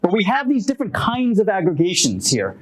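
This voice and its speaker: A man presenting his research at a workshop and answering audience questions in a halting, self-correcting way, with frequent fillers and restarts. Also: high-pitched, wildly swooping pitch, low-quality recording. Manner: hesitant, many restarts and fillers. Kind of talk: academic Q&A at a workshop. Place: conference room.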